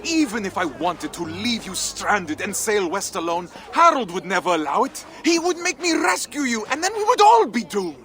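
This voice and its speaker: Male Viking trader, proud and earnest, highly animated delivery, slightly high-set voice. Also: moderate pace, few pauses, slight background noise. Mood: indignant. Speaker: video game character. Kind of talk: dramatic game cutscene acting. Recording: music behind the voice.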